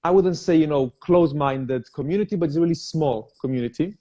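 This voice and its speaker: A young man who grew up in Cyprus and speaks Greek as his first language talks as a guest in a relaxed interview. His voice is mellow and dark. He speaks hesitantly, filling pauses with frequent uh's. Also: fairly high pitch. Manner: hesitant, many "uh" fillers and restarts. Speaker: guest, vegan personal trainer. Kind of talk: casual interview.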